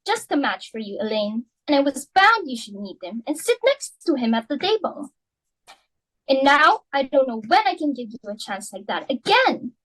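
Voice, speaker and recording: Woman acting an imperious, high-voiced society mother. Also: very animated, normal speed, fairly bright tone, low-quality recording. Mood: furious, indignant. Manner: imperious, melodramatic, scolding. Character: domineering, socially ambitious society mother and hostess. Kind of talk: dramatic reading of a stage play.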